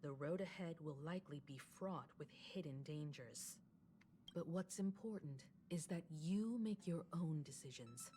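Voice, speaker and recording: An actress voicing a composed, knowledgeable woman mentor in a story game, speaking in measured, solemn exposition. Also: sits low. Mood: earnest, guiding. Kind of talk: scripted game story dialogue. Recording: loud background music. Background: game music.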